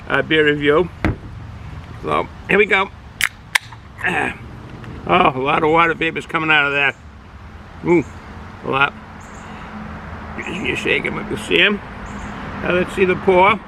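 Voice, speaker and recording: A middle-aged man with a Boston accent, casually reviewing a beer outdoors, rambling and halting with many fillers. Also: fairly high pitch, creaky, noisy background. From Boston area, United States. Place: outdoors, sun in his eyes.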